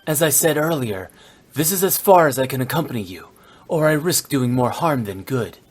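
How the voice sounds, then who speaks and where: A man voicing a stern, brooding, youthful immortal warrior in a video game, speaking in a grave, formal and terse manner with a husky voice. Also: medium pitch, lively intonation, normal speed, natural voice. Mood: solemn.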